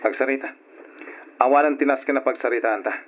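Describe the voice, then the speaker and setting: A man's voice: mid-range voice, fairly quick, fairly bright tone, close to an everyday voice. An actor with an Ilocano accent playing an anguished, bitter husband and father in an old radio drama. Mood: cold, reproachful. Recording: dull lo-fi old radio recording.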